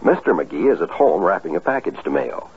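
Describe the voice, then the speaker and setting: Male old-time radio announcer, tinny, husky. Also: low voice, sing-song, fairly quick. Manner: earnest, oratorical. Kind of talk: formal scripted 1950s radio announcing.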